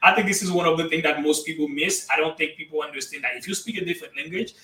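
A man from Haiti whose first language is Haitian Creole, giving emphatic, energetic motivational answers as a guest in an interview. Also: on the high side, fast, reverberant, far from the mic, low-quality recording. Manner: energetic, emphatic, addresses listeners as guys. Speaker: guest entrepreneur.

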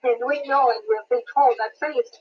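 A woman's voice: a little high, fairly even intonation, a little fast, breathy edge, muffled recording. A woman from Malawi who is a prophetess, praying and preaching a sermon with a fervent, repetitive cadence. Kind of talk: sermon and prayer.

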